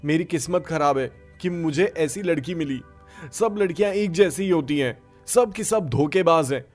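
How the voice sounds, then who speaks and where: A man voicing a heartbroken, bitter young man with melodramatic emotion in an animated story. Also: fairly high pitch, moderate pace, faint music behind, voice pushed up, natural voice. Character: heartbroken young man, bitter and self-pitying about love. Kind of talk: animated moral story dialogue.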